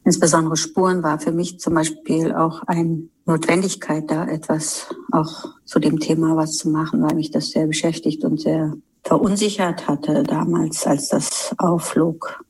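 Lowish husky woman director, talks kinda flat and searching, lots of pauses. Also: low-quality recording. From Germany. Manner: thoughtful, searching, with fillers and restarts. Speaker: film director. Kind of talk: interview answer.

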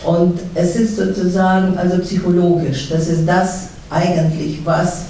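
Middle-aged russian woman, halting slow speech, mellow tone, faint hiss behind. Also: on the low side, flat delivery. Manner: halting, many fillers and restarts. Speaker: guest author. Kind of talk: panel talk. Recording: echoey hall from far off, faint noise.

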